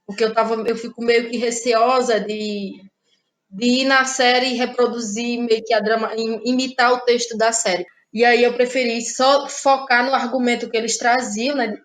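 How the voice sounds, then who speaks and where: Thoughtful Brazilian woman playwright, halting speech. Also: on the high side, steady tone, low-quality recording. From Ceará, Brazil. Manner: thoughtful, halting, many restarts and fillers. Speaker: playwright and actress, guest. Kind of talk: casual interview conversation.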